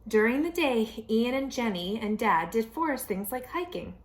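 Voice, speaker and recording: A woman reading a children's storybook aloud in an expressive style, with dramatic emphasis and pauses. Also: on the high side, noisy background. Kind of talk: expressive picture-book read-aloud.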